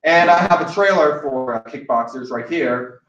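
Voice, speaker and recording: A man's voice: on the high side, normal speed, a bit bright. A young man from Hawaii hosting a casual chat, rambling with many restarts and fillers. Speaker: host. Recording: echoey room, distant mic, dull sound.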